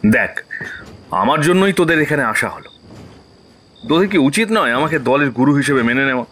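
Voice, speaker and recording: An actor playing a jokey, carefree young college student in a horror audio drama, with a teasing manner. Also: male voice, mid-range voice, expressive, moderate pace, a bit bright. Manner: breezy, teasing.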